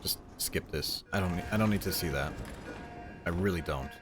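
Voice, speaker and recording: Streamer: man with a Mexican accent, sarcastic and self-mocking, low-ish voice. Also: normal speed, music in the background. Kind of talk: casual gameplay commentary.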